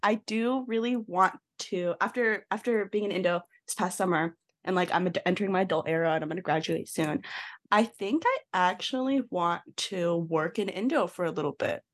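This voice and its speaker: A woman's voice: fairly low pitch, normal speed, dry recording. Chatty young woman, dry close sound. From United States (Ohio). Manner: chatty, laughs often. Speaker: podcast co-host. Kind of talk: casual chat.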